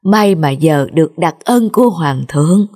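A woman voicing a humble old peasant man in an animated folk tale, pleading and sighing. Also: low-pitched, normal speed, noisy background. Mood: weary, resigned. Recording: music under the voice.

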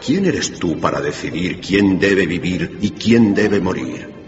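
A man playing a stern, mocking ghostly spirit in a radio drama, solemn and gravelly. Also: low-pitched, lively intonation, slow, highs cut off, over music. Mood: scornful, accusing. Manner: solemn, scornful. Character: stern, imposing male Christmas spirit, ancient and mocking. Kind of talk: Spanish radio drama acting.

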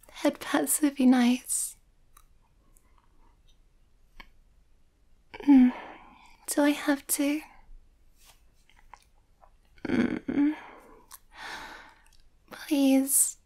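Shy young werewolf girlfriend, female performer: soft whispery ASMR delivery, high pitch, unhurried, bashful and sweet. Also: little pitch movement. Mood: bashful, tender. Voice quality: soft, breathy, often whispery. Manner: bashful, hesitant, giggly. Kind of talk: intimate ASMR girlfriend roleplay.

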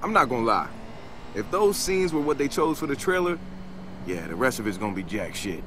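A man's voice: mid-range voice, big pitch swings, a little fast, fairly bright tone, a little hiss or hum. An actor playing a playful, upbeat young male hacker with casual, teasing delivery in a video game. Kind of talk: video game dialogue acting.

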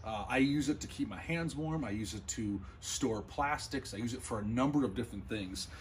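A man's voice: medium pitch, moderate pace, a little hiss or hum. Earnest man giving gear tips. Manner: earnest, enthusiastic, repeats himself and restarts phrases. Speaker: fishing channel host. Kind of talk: casual gear-tips talk to camera. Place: indoor gear room or shop, small.

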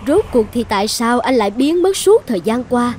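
A woman voicing an imperious volcano goddess in an animated fairy tale, commanding and formal. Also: on the high side, moderate pace, a little hiss or hum. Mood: stern, surprised. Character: imperious volcano goddess guarding her island.

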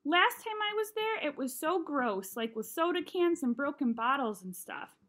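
A woman's voice: high voice, sing-song, moderate pace, fairly bright tone, pitched above the performer's own voice. A woman reading the part of a bored, scornful rich schoolboy from a children's novel, sarcastic and slangy. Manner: sarcastic, dismissive.

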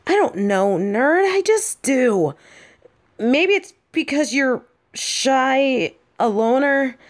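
A woman playing a possessive, brash but secretly smitten bully girl in an audio roleplay, speaking straight to the listener in a bossy, halting way. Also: mid-range voice. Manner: bossy, taunting, flustered halting delivery.

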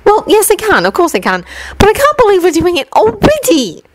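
A woman voicing a bookish, bossy teenage schoolgirl witch who talks high, sharp and impatient. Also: wildly swooping pitch, a little fast, a little hiss or hum, exaggerated voice.

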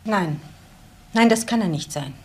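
An actress playing a loyal, earnest woman with a measured, firm delivery in a crime radio play. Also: medium pitch, lively intonation, a little mellow, breathy edge. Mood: defensive. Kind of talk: radio crime drama acting.

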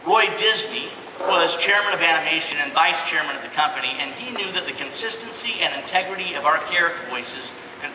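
A middle-aged man giving a prepared presentation as host on a large stage. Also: on the high side. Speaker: presenter, company executive. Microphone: microphone through hall PA. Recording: echoey hall from far off, crowd, noise.

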